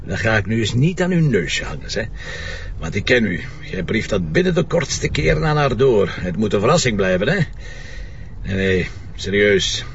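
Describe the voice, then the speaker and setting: A dogged, needling Flemish police commissioner in a radio drama. His voice is gravelly and swings freely in pitch. The recording is a little muffled. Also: big pitch swings, normal speed, recorded from a distance, slight background noise. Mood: teasing. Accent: Flemish Belgian. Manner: wry, needling, colloquial. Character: shrewd, teasing, dogged male police commissioner. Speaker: actor. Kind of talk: naturalistic radio drama dialogue.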